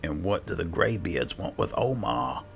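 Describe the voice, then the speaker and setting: A man's voice: deep voice, expressive, normal speed, gravelly, faint music behind. A man hosting a gaming video, giving casual, chatty, hesitant roleplay commentary as he plays.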